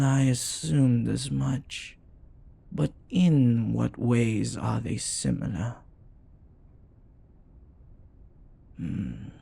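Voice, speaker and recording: A man voicing a flirty, devoted demon in an intimate romantic audio roleplay, speaking formally and reverently in a husky voice that often drops to a whisper. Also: mid-range voice, dark tone, exaggerated voice. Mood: hesitant wonder. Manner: slow, formal, reverent phrasing. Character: jealous, flirty, devoted male demon bound to master.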